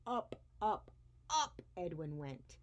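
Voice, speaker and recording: A woman's voice: fairly low pitch, very animated, slow pace, noisy background. A woman hosting a story time for young children, speaking expressively as she shares a picture book.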